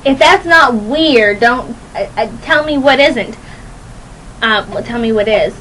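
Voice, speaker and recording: A young woman hosting a radio show, rambling casually to listeners in a chatty, playful, animated and giggly way. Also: a little high, a little slow, slight background noise, low-quality recording. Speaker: radio host.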